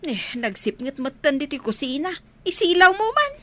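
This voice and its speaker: A woman's voice: high voice, very animated, normal speed, sharp tone, full character voice. A woman playing a worn, nagging mother of a struggling village household in a comedy radio drama. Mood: fretful.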